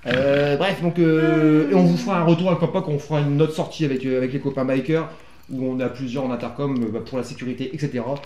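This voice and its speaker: A man's voice: fairly high pitch, fairly even intonation, fast, darkish tone. A man hosting a casual motorcycle gear unboxing vlog, rambling along with bossy, teasing and coarse jokes. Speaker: vlog host. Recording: echoey room with music behind.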